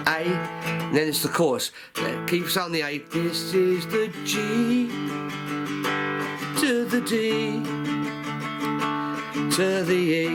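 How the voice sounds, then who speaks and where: Older man, nasal and bunged up from a cold, slightly high voice, calls chords while strumming, loud guitar behind him. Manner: calls out chords while playing, half singing. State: stuffy nose from a cold. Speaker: guitar teacher. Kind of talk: casual music lesson. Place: living room at home. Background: cigar box guitar playing.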